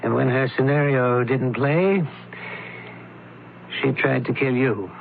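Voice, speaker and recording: An actor playing a shrewd, candid doctor and sleuth in an old-time radio drama, speaking in a measured, precise way. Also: male voice, mid-range voice, steady tone, gravelly. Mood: candid, grave. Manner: measured, precise, deliberate explaining. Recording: tinny, noisy radio drama recording.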